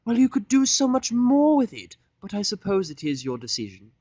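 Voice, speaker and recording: A man voicing a refined, theatrical female pony fashionista in an audiodrama, fussy and flattering, with affected, drawn-out genteel phrasing. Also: sits high, no room sound, voice pushed up, exaggerated voice. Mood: put out. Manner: affected, drawn-out, genteel delivery with terms of endearment. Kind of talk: audiodrama fan fiction character voice.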